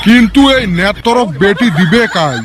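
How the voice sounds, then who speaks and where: A man's voice: sits high, lively intonation, normal speed, over music. A man voicing a grumbling, penny-pinching poor villager in a comic cartoon, exasperated with the household. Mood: grudging.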